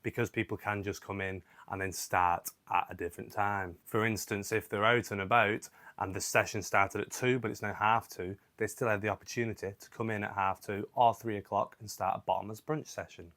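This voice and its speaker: A man, a gigging singer talking casually through his week with a wry, self-deprecating manner and a slightly croaky voice from a sore throat. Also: on the low side, steady tone, brisk, few pauses, fairly bright tone, no room sound. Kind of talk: casual vlog narration.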